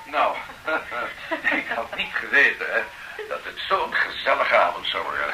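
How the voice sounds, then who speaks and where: A man's voice: mid-range voice, huge pitch swings, a little slow, vocal fry, loud music behind the voice, exaggerated voice, rough recording. An actor playing a jovial, slightly tipsy man telling a story with many fillers in a radio drama.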